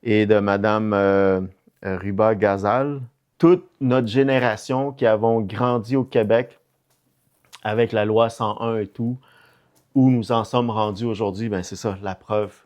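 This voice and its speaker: A man's voice: fairly low pitch, fairly even intonation, a little slow, darkish tone, muffled recording. A middle-aged man with a Québécois French accent chatting casually on a podcast, hesitant and often repeating words as he searches for the next one. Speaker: podcast co-host or guest.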